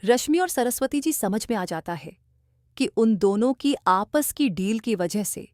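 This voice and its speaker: A woman's voice: a little high, expressive, unhurried, a little mellow, dry recording, clean studio sound. A woman narrating a scripted cartoon bedtime story as a storyteller.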